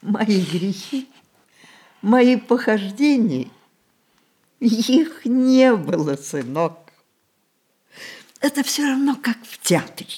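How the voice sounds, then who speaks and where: An actress playing a dying old matriarch in a radio drama, imperious yet tender, with theatrical, halting phrases. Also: female voice, a little high, lively intonation, mellow, slightly rough, exaggerated voice. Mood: wistful confession. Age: older. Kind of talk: Russian stage-style radio drama acting.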